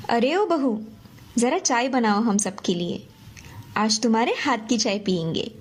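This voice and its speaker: A woman voicing a middle-aged, scolding mother-in-law with a husky voice in an animated Indian moral story. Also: a little high, unhurried. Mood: bossy. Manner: exasperated, admonishing.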